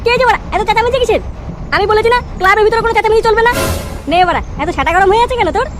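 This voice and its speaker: Man doing a squeaky, hot-headed cartoon layabout son. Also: racing, music in the background. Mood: irritable, aggrieved. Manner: brash, foul-mouthed, defiant. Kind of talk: exaggerated comedy cartoon acting. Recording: noisy track with street and vehicle ambience.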